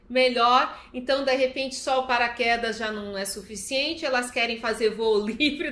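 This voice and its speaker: A woman with a Brazilian accent gives an informal, explanatory talk. Her voice is a little high and her delivery is conversational, built on personal examples. The sound is roomy, with clear echo. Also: a bit bright. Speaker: recovery talk speaker.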